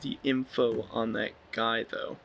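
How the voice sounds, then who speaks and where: A man's voice: medium pitch, little pitch movement, measured pace, gravelly, a bit bright. A man casually role-playing the player character in video game dialogue.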